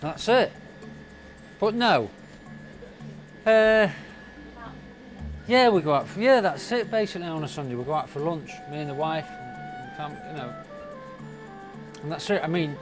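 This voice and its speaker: A middle-aged man chats casually as a market fishmonger. His voice is on the high side, with music clearly audible behind it. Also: measured pace, long pauses. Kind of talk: casual chat. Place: small indoor seafood stall.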